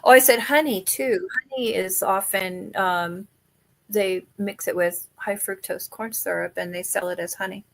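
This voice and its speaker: A woman's voice: mid-range voice, steady tone, normal speed, creaky, fairly bright tone, low-quality recording. A middle-aged woman guest on a podcast, speaking candidly and earnestly about faith, diet and illness. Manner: candid and earnest, laughs easily. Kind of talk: conversational interview.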